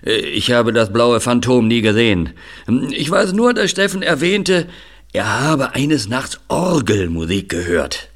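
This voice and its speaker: Ein Mann spielt einen knarzigen, freundlich-bedächtigen älteren Herrn. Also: male voice, mid-range voice, sing-song. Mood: reflective, grave. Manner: halting, hospitable, deliberate delivery with hesitations. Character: affable older man, scarred retired film manager breeding budgies. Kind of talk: audio drama dialogue acting.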